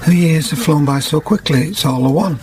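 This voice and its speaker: Actor as grave, wounded recluse, higher-pitched. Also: male voice, brisk, a little mellow, slight background noise, lightly acted. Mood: wistful. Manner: reflective, grave. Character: solemn, wounded older weaver, once a hermit. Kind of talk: period radio drama acting.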